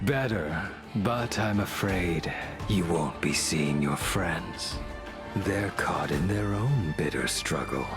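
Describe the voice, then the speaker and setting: Very deep, slow, menacing swordsman. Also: animated. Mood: menacing, taunting. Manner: brooding, threatening, breathy murmurs breaking into manic outbursts. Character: menacing, tormented male swordsman fugitive, obsessed with vengeance. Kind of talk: dramatic video game voice acting. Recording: loud music under the voice.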